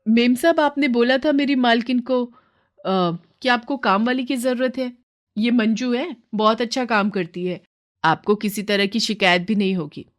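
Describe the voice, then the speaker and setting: A woman's voice: on the high side, measured pace, voice pushed up, natural voice. A woman voicing a helpful, frank neighbour who works as a maid, chatty and obliging, in a story. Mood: respectful, persuasive.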